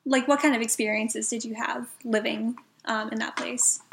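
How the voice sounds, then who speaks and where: A woman's voice: on the high side, fairly even intonation, moderate pace, vocal fry, fairly bright tone, a little hiss or hum. Hesitant young woman interviewer. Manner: hesitant questions with um and like fillers. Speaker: student interviewer. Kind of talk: oral history interview.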